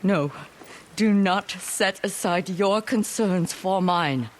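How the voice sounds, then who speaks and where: An actress playing a proud, grieving old noblewoman warrior in a video game, grave, formal and deliberate. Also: medium pitch, slight background noise, natural voice. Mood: cold, bitter. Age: older. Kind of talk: dramatic video game cutscene acting.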